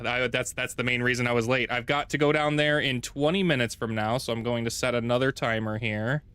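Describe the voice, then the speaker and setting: Male streamer, deep voice, casual rambling commentary, dry close home mic. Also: a bit bright, no echo. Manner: rambling, self-correcting, thinks aloud. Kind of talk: casual gameplay livestream chat. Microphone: desk mic with noise gate.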